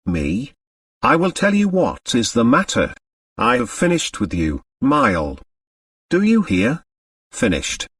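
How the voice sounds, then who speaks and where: A man's voice: mid-range voice, wildly swooping pitch, slow pace, fairly bright tone, slightly rough, dry recording, studio quality, distant mic, full character voice. A man playing a bitter, reckless man in an audiobook, with a brusque, mocking manner. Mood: angry. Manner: sardonic, brusque. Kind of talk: audiobook character acting.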